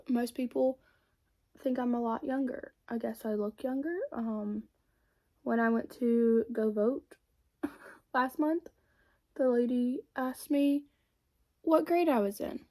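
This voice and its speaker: A woman's voice: a little high, steady tone, slow pace, warm tone. A woman, chatting casually and rambling while she does her makeup. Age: 20s. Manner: chatty and rambling, many fillers. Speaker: beauty vlogger.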